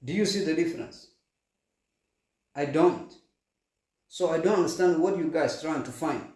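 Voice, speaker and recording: A man's voice: a little high, moderate pace, dark tone. A man from Somalia scolding and lecturing his listeners. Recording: distant echoey mic.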